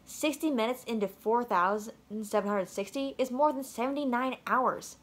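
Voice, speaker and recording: A woman reading a children's storybook aloud with playful, expressive narration. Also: on the high side, normal speed. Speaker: storybook reader.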